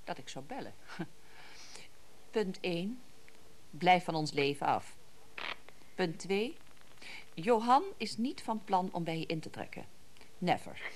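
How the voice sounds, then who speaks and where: A woman's voice: lowish voice, lively intonation, slow, long pauses, exaggerated voice. An actress playing a haughty, menacing woman warning off a rival in a radio drama, cold, clipped and taunting.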